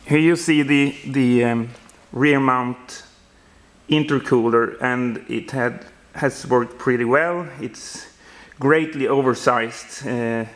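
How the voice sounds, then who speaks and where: A man in his thirties with a Swedish accent, giving an informal walkaround explanation in halting, non-native English as he searches for words. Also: mid-range voice, fairly even intonation, a bit bright. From Sweden. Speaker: home builder presenting his project. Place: indoor workshop garage.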